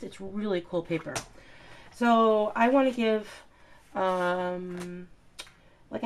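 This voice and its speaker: A woman's voice: mid-range voice, a little hiss or hum. A woman with a stuffy, nasal voice from a cold, chatting through a craft tutorial with lots of ums and uhs. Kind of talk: casual step-by-step craft demonstration.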